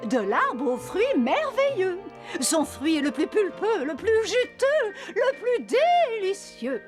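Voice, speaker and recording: A woman voicing a mother who tells a bedtime story in a French cartoon, expressive and lively. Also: extremely high pitch, takes it slowly, exaggerated voice. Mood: storytelling. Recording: voice over background music.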